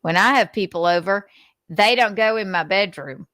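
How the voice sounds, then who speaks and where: A woman playing a calm, persistent male police officer, questioning in a matter-of-fact, probing way for a dramatic reading. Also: mid-range voice, normal speed, no echo, natural voice.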